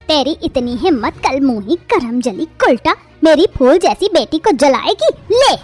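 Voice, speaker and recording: A woman voicing a harsh middle-aged mother-in-law in an animated family drama, hurling shrill insults. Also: measured pace, soft background music. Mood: furious. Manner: shrill, insulting, shouting tirades.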